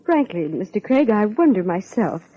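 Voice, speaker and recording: An actress playing a proper, reserved social secretary, formal and guarded, in old-time radio drama style. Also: female voice, medium pitch, big pitch swings, normal speed, warm tone, slightly rough. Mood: confiding, uneasy. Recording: muffled old radio recording.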